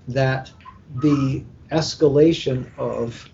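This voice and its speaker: An older American man, a book editor in a panel conversation, speaking in a deliberate, halting, professorial way. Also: fairly even intonation, gravelly, mellow. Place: hotel room at a convention, internet call. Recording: muffled internet call, rumbling noise.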